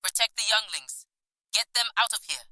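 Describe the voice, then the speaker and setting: A woman's voice: medium pitch, animated, moderate pace, bright, forceful tone, dry recording, low-quality recording. A woman voicing fiercely protective female alien tribe creatures in a fantasy story, with urgent, exclamatory delivery. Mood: urgent command. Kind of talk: dramatic fantasy story dialogue.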